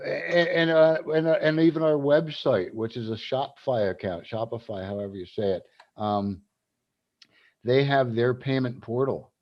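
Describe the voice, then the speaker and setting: A man's voice: medium pitch, lo-fi sound. A man from South Carolina, hosting a casual advocacy livestream, rambling with many fillers and restarts. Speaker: cannabis advocacy group host.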